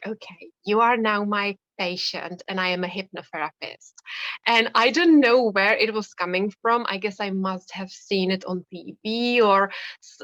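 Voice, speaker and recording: A Czech-accented woman in her thirties talks in a chatty, animated way and laughs easily as she speaks. Her voice sits at an ordinary middle pitch. The recording is close and dry, with no room sound. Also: no echo, low-quality recording. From Czech Republic. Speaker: hypnotherapist guest. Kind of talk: casual interview storytelling.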